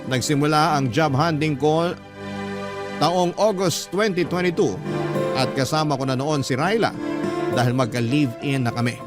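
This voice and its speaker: A man's voice: fairly high pitch, normal speed, close to an everyday voice. A man acting as an earnest young man who confides his love story in a dramatized first-person narration. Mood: reflective. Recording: loud guitar music behind the voice.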